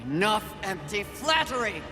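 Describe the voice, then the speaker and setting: A woman's voice: mid-range voice, very animated, normal speed, natural voice. A woman playing a fierce, impatient female warrior in a video game cutscene, curt, demanding and commanding. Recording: echoing voice under loud music.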